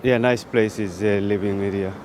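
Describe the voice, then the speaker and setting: A man's voice: on the low side, fairly even intonation, moderate pace, a little mellow. Man from Bangladesh, broken English, hesitant short answers, street noise. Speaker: street interviewee. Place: outdoors on a city street.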